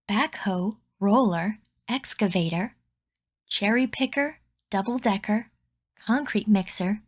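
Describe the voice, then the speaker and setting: A woman reading a rhyming children's picture book aloud with deliberate, expressive storytelling phrasing. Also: medium pitch, breathy edge.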